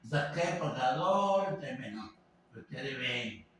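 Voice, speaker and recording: A man teaching an informal class, talking haltingly with many fillers and tag questions. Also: medium pitch, animated, a bit bright. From Venezuela. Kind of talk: informal lecture. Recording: echoey room, voice from a distance, faint background noise.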